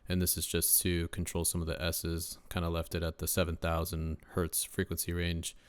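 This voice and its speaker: A deep-voiced young man, a rapper casually walking through his mixing setup in a relaxed way, with lots of ums and uhs. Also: moderate pace, clear, resonant tone, dry recording. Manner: laid-back, lots of uh and um fillers. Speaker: rapper and home mixing engineer. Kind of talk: casual screen-share mixing tutorial.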